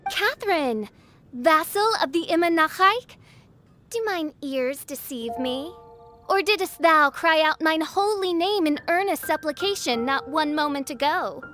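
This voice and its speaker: A woman's voice: high voice, animated, a little slow, fairly bright tone. A woman voicing a grandiose young self-styled princess in a video game, speaking in archaic, dramatic phrases. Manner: archaic, pompous, dramatic speech. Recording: game audio with faint music.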